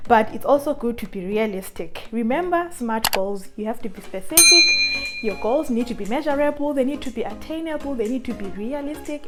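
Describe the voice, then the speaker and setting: Emphatic Zimbabwean woman vlogger, higher-pitched voice. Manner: emphatic, repeats phrases for stress. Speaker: vlogger, channel host. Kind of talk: motivational advice vlog addressed to viewers.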